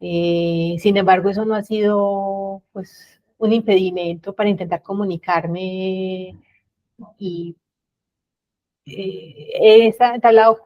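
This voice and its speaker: A woman, a professor on a panel, speaking candidly and in a measured way with hesitations. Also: medium pitch, steady tone. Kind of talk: panel discussion. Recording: slightly dull, muffled audio.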